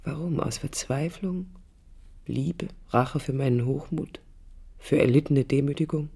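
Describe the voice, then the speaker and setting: An actress playing a disillusioned young divorced woman, recounting her past in a hushed, reflective, confiding radio play monologue. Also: deep voice, measured pace, heavily acted. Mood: bitter, searching. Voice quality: husky, breathy. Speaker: narrator and protagonist. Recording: music under studio radio drama. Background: music.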